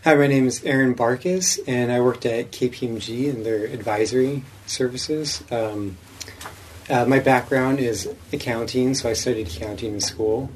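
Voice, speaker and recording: A man's voice: medium pitch, normal speed, husky, poor audio. A young man informally describing his career as a podcast guest. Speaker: podcast guest, finance professional. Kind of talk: informal self-introduction.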